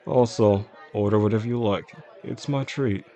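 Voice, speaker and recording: Charming boss on a dinner date, played by a male actor: low, creaky voice, soft-spoken, flirtatious, intimate audio drama. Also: moderate pace. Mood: warm, attentive. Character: charming attentive boss courting his employee, quietly possessive man. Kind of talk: intimate audio roleplay, addressing the listener. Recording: faint restaurant crowd behind the voice.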